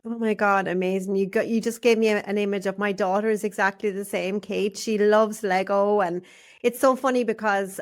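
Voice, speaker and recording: A woman hosting a podcast interview in a studio, bubbly and enthusiastic, often laughing and exclaiming. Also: mid-range voice. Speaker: podcast host. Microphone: studio microphone.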